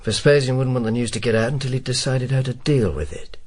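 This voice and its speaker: An actor playing a wry, world-weary Roman informer in a radio drama, dry and sardonic. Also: male voice, medium pitch, steady tone, quick pace, dry recording, natural voice. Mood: probing, dry. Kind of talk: radio drama dialogue and first-person narration.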